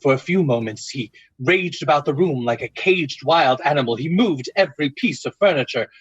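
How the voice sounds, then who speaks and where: Male narrator, husky, mid-pitched, dramatic and deliberate, old-time radio suspense, muffled recording. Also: animated, no echo.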